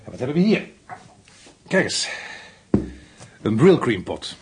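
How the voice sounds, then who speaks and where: A man's voice: mid-range voice, sing-song, measured pace, background noise. An actor playing a methodical intelligence officer briefing his superior in a radio drama, explaining in an insistent way.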